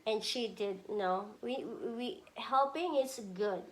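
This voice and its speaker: A woman pre-K teacher reading a storybook aloud to a child in a gentle manner and pausing to ask him questions. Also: fairly high pitch, rough recording.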